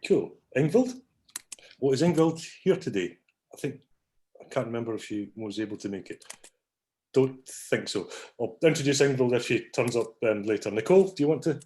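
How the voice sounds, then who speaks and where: A man's voice: mid-range voice, lively intonation, moderate pace, mellow. A man hosting an online academic meetup on a video call, talking casually with many ums and small apologies. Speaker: meetup host. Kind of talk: casual online meeting talk.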